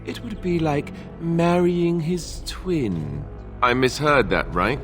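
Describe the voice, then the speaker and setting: A man's voice: a little high, slow pace, over music. A man playing a wry, boyish, self-deprecating warrior prince in a fantasy game, talking informally and hesitantly. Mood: bewildered, wry. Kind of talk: naturalistic fantasy game acting.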